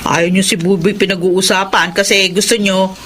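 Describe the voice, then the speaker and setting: A woman's voice: medium pitch, flat delivery, moderate pace, fairly bright tone, a little hiss or hum, close to an everyday voice. A woman playing a resentful, huffy unmarried grown daughter in a radio drama. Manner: huffy, complaining.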